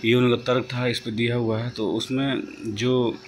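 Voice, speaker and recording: A man giving an informal explanatory update, halting and rephrasing as he goes, mixing in English terms. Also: medium pitch, level tone. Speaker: host of a job-news channel. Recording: noisy home-made voice-over, background noise.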